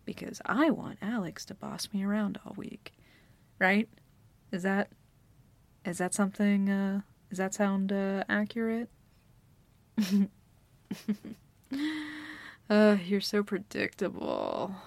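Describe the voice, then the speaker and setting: A woman playing a teasing, bossy young dominant girlfriend in an intimate, soft-spoken ASMR roleplay, often dropping to a whisper. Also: medium pitch, creaky, no echo, exaggerated voice.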